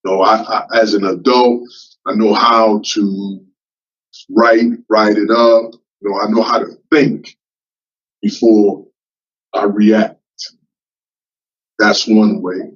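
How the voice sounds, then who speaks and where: Husky man in his fifties, halting. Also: lowish voice, little pitch movement. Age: 50s. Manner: halting, repeats himself, frequent fillers. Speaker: parole applicant. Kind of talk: parole hearing testimony. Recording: muffled distant remote-call audio.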